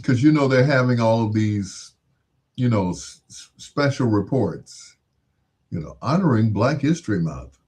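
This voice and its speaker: Slow, mellow, husky American man. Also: mid-range voice, lively intonation. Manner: musing, asks rhetorical questions. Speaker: radio-style host. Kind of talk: casual rambling monologue.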